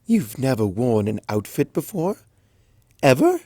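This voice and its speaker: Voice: medium pitch, big pitch swings, a little slow, dark tone, no echo, studio quality. A reader voicing a refined, fussy unicorn seamstress mare in a storybook reading, prim and genteel. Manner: prim, genteel, says darling. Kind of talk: audiobook fanfic reading.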